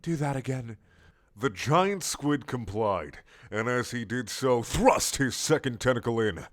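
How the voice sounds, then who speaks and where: A man narrating comic fiction from a script in a theatrical, measured, storytelling way. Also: medium pitch, animated. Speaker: narrator reading a fan story.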